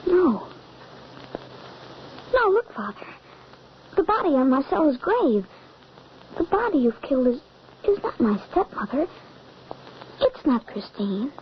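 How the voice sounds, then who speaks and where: A woman's voice: sits high, big pitch swings, measured pace, pauses often. A woman playing a frightened, vengeful young boy in an old-time radio drama, with breathless, melodramatic delivery. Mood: horrified. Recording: dull, noisy old radio recording.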